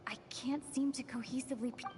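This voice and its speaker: A woman livestreamer reading game dialogue aloud in a halting way, half to herself. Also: high voice, fairly even intonation. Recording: noisy game livestream with clicks.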